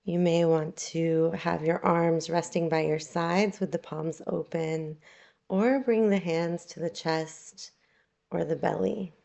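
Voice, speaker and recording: Woman, yoga nidra guide, low-pitched, gravelly fry, soothing, unhurried, guided meditation delivery. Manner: calm, soothing, unhurried instruction with long pauses.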